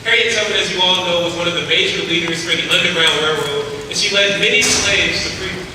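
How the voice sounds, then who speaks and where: A young male student speaker delivers an emphatic, rhythmic speech in a large auditorium. His fairly high voice carries from the stage to a camera far back in the hall. The room rings with long echoes and steady background noise. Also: quick pace. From South Carolina, USA. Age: young adult. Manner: emphatic, rhythmic orator leading call and response. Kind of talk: oratorical speech to an audience. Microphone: far camera in the audience.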